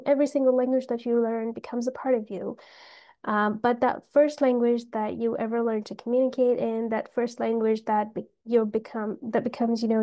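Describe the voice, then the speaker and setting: Mellow-voiced Pakistani woman tutor. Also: fairly high pitch. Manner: earnest, listing phrases one after another, with frequent fillers. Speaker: language tutor. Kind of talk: unscripted self-introduction to camera.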